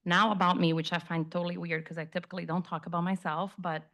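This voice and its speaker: A woman's voice: fairly low pitch, flat delivery, quick pace, fairly bright tone. A woman in her fifties from Brazil, a native Portuguese speaker, giving an informal, conversational talk about herself to an audience. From Rio de Janeiro, Brazil. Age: 50s. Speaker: guest speaker, community relations executive.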